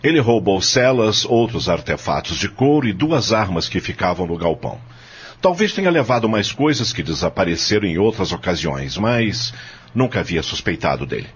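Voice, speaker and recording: A man plays a hard, self-assured Brazilian coffee farmer in a radio play. His voice is low and extremely raspy, with animated pitch movement. He answers assertively in formal words. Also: normal speed, heavily acted. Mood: accusing, assertive. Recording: dull, lo-fi audio.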